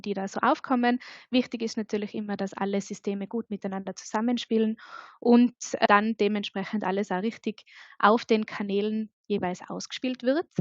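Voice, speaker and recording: A woman with an Austrian accent, a hotel consultant explaining in a lively, upbeat webinar presentation. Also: mid-range voice, no room sound, muffled recording. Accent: Austrian German.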